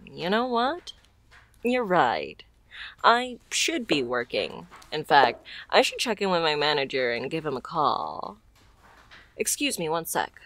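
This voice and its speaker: Woman doing a flirty mafia boss lady for asmr roleplay, low voice, takes her time, teasing and smooth. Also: very animated, fairly bright tone, heavily acted. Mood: playful. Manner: teasing, smooth-talking, unhurried.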